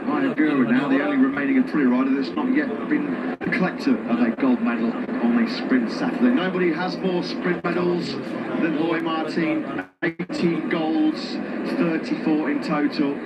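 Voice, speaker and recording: A man's voice: on the high side, fairly even intonation, moderate pace, dull audio, heavy background noise. A man commentating live on motorsport and hosting the broadcast. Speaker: sports commentator and broadcast host.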